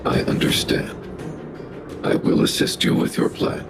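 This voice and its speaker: A man's voice: a little slow, music in the background, close to an everyday voice. A man playing a huge, logical robot guardian in a video game, formal and clinical, with a synthetic voice. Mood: detached, solemn. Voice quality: synthetic, gravelly.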